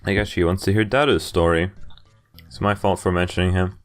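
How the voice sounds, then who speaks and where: A man's voice: sits low, fairly even intonation, a little fast. A man hosting a playthrough, reading a visual novel's text aloud as dramatized narration. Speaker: video game playthrough host. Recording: game music behind the voice. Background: game music.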